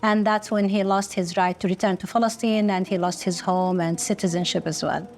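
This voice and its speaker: Middle-aged woman from kuwait, slow earnest interview talk, faint music under it. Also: medium pitch, fairly even intonation. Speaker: business founder. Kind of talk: documentary interview.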